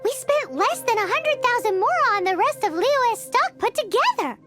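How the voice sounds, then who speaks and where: A woman voicing a sly, quick-witted young swordsman who bargains politely but cunningly, in video game voice acting. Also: very high-pitched, big pitch swings, over loud music. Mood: sly bargaining.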